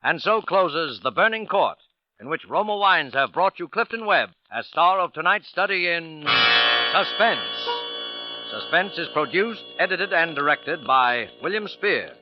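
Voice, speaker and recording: A man's voice: a little high, very animated, unhurried. A man narrating and signing off a 1940s radio drama in a formal, dramatic style. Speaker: program announcer. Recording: dull vintage radio recording. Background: music.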